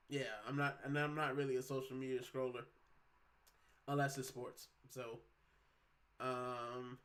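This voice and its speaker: A man's voice: mid-range voice, a bit bright. A man in his thirties from Puerto Rico, hosting a casual livestream at home, rambling in slang and reading out chat comments. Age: 30s. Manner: chatty, rambling, slangy, many fillers. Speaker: livestream host.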